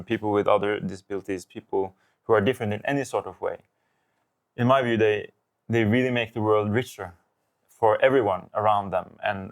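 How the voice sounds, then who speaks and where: A man's voice: fairly low pitch, gravelly. A man from Norway, a film director, answering interview questions thoughtfully, with many fillers and restarts.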